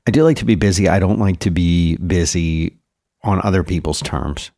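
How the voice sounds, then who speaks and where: A man co-hosting a podcast in casual conversation, easygoing and quick to laugh, mostly giving short replies. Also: sits low, a little mellow, no room sound, clean studio sound. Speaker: podcast co-host. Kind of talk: casual chat.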